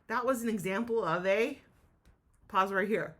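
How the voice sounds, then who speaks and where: A woman with a mid-range voice speaks as a teacher reading to children. Her delivery is enthusiastic and expressive, in the style of a storybook read-aloud. She addresses young listeners directly and explains as she goes. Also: medium pitch, normal speed.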